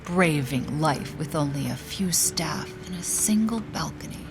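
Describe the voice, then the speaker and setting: A woman's voice: sits low, lively intonation, measured pace, creaky, over music, exaggerated voice. A woman playing a passionate, yearning noblewoman in a period audio drama. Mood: tender, yearning. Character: passionate, despairing noblewoman feeling caged by her estate.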